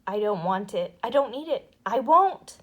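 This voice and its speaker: A woman voicing a curious, excitable, stubborn little boy in a storybook, exclaiming eagerly. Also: on the high side, measured pace, muffled recording. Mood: protesting. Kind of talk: storybook character voice.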